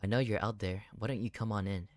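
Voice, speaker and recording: A man voicing a quiet, awkward young killer crush in a horror visual novel. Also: fairly low pitch, fairly even intonation, fast, slight background noise, natural voice. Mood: composed, cool. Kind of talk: dramatic game character reading.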